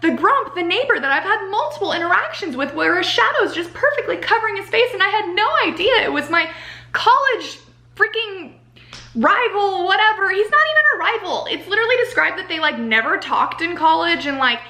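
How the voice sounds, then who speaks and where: A young American woman giving a casual, chatty book review in an animated, exasperated ranting manner, saying "like" a lot. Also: extremely high pitch, fairly quick. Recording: roomy home echo.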